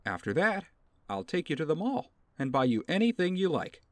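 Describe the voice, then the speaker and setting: An actor plays an easygoing, doting father in an audio drama. His male voice swings widely in pitch, gentle and playfully coaxing. The recording is dry. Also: very animated, moderate pace, no room sound. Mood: coaxing, comforting.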